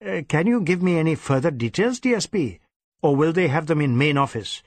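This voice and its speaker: A man's voice: fairly high pitch, expressive, fairly quick, darkish tone, no room sound, pitched above the performer's own voice. A man voicing an anxious, deferential Indian police inspector, hesitant and eager to please, in an audiobook. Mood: nervous, deferential. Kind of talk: audiobook character acting.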